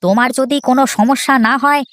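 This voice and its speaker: A woman's voice: high-pitched, quick pace, close to an everyday voice. A woman voicing an impatient, cheeky farmer brother in a children's cartoon, whining and complaining. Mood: grumbling, cheeky. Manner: whiny, complaining, interjects often. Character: impatient, grumbling, cheeky middle brother of farming family.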